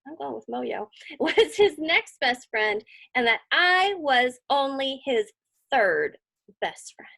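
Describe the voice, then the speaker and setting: A woman's voice: sits high, big pitch swings, slow pace, gravelly, pushed and bright. A woman reading a children's story aloud expressively, with dramatic emphasis and chatty asides. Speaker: storybook reader. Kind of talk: animated children's read-aloud with conversational asides.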